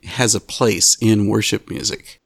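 A male radio host giving a scripted show introduction and asking interview questions in a measured, articulate way. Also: fairly low pitch, vocal fry, husky, no room sound, studio quality.

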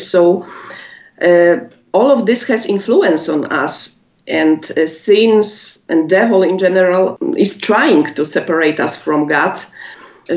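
A woman with a Polish accent, a native Polish speaker, answering interview questions in halting English with many pauses and laughs. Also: on the low side. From Poland. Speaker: musician guest. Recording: echoey, muffled lo-fi audio.

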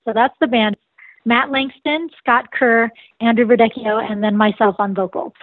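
A woman's voice: on the high side, fairly even intonation, moderate pace, no echo. Bubbly nasal woman in her forties. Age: 40s. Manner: bubbly, laughs often. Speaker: singer and saxophonist. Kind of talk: casual interview answers. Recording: dry, tinny phone line.

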